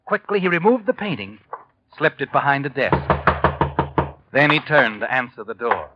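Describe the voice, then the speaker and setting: A man's voice: mid-range voice, big pitch swings, normal speed, noisy background, natural voice. An actor playing an ominous, all-knowing male narrator in a sinister, dramatic 1940s radio suspense style. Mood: tense, hushed. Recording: dull 1940s radio recording, music behind.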